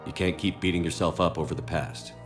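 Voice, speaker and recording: A man playing a stern, steady space military commander in a video game, with measured, terse and authoritative delivery. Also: deep voice, soft background music, natural voice. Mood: reassuring. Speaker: game protagonist. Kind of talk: cinematic video game acting.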